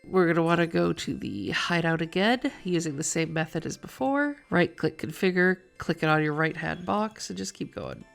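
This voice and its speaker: A woman's voice: fairly low pitch, lively intonation, moderate pace, vocal fry, hardly any pauses, soft background music. A woman narrating a video game walkthrough in a casual, colloquial and playful way.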